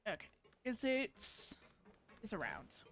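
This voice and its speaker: A woman's voice: on the high side, huge pitch swings, takes it slowly, a bit bright. A woman giving casual live-stream commentary on a game, rambling and thinking aloud as she plays.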